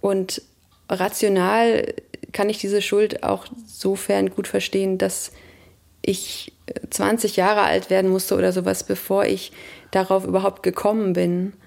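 A woman of about thirty speaks in a reflective interview register. Her voice is strongly creaky and on the dark, mellow side. She picks her words thoughtfully as she gives a personal account. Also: medium pitch, little pitch movement, a little slow. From Germany. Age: 30s.

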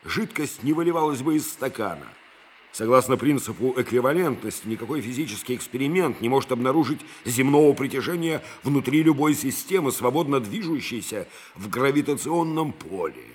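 An actor playing a pensive, dryly stubborn physicist absorbed in theory, speaking deliberately and reflectively in an audio drama. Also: male voice, medium pitch, expressive, slight background noise, lightly acted. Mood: explanatory, engaged. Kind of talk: Russian audio drama acting.

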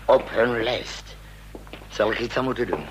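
An actor playing an authoritative, gruff president in a radio drama, speaking curtly. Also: male voice, medium pitch, unhurried, muffled recording, close to an everyday voice. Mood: grave, suspicious. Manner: curt, authoritative. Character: authoritative, gruff male president, roused from sleep. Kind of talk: Dutch radio drama acting. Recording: faint noise and hum, old radio recording.

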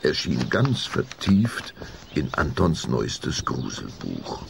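Deep, gravelly male storyteller. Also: wildly swooping pitch, takes it slowly, noisy background. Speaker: narrator. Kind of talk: scripted storytelling for children's radio play.

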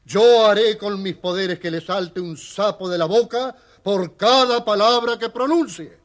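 High-voiced little magic gnome. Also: male voice, unhurried, over music. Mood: indignant. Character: small male fairy-tale gnome with magic powers. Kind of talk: scripted children's audio storybook acting.

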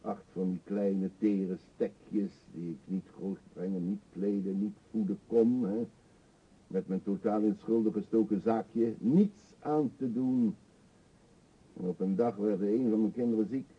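An actor in a radio play voicing a middle-aged male vagrant, self-pitying and confessional, with a husky, halting, theatrical delivery. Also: lowish voice, fairly even intonation. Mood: ashamed, defeated. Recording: dull lo-fi radio play recording.

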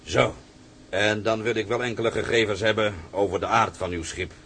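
An actor playing a stern convoy captain in a drama, formal, businesslike and commanding. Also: male voice, on the low side, steady tone, moderate pace, fairly bright tone, slight background noise. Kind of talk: dramatic dialogue.

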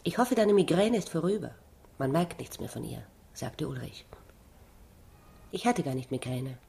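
A woman's voice: low voice, very animated, normal speed, creaky, mellow. A woman reading a literary novel aloud as narrator, with dialogue. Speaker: reader.

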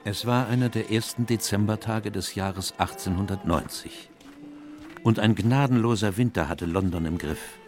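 An actor playing a reflective, loyal elderly doctor narrating his memoirs in a measured, literary way in a radio play. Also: fairly low pitch, darkish tone, close to an everyday voice. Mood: calm storytelling. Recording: music under the voice.

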